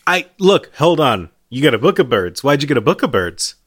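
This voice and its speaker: Male co-host, medium pitch, wry and casual, trading dry comedic banter on a podcast. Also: sing-song, brisk, a bit bright.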